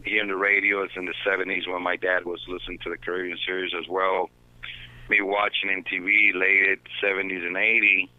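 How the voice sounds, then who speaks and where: A man's voice: low-pitched, little pitch movement, a little fast, sharp tone, dry recording. A man on a tinny phone line. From Dominican Republic. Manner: earnest, reflective storytelling. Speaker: baseball manager guest. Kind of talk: phone interview. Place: at home.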